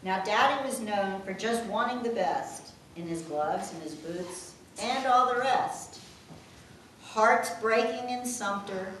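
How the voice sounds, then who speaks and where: A woman's voice: mid-range voice, fairly bright tone. An older woman reading a homemade rhyming poem aloud to a family gathering. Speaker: poem reader. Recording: echoey room, distant mic, faint noise.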